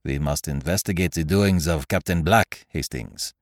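A man voicing a genteel, shrewd foreign detective in an audiobook, speaking formally and deliberately with the odd French phrase. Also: a bass voice, no echo, clean studio sound. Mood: confident, probing. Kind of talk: audiobook character voice.

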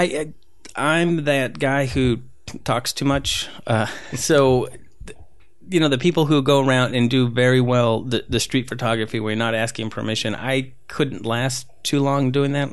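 A man's voice: medium pitch, steady tone, moderate pace, clean tone, no echo. A man who grew up in Southern California, talking casually as a guest with many fillers and restarts. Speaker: street photographer guest. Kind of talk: casual interview conversation.

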